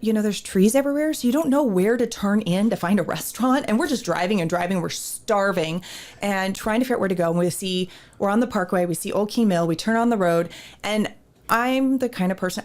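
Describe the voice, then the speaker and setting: A woman, a theater ministry director, interviewed as a guest, telling stories in a lively way and acting out her own past remarks. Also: medium pitch. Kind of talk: casual interview storytelling.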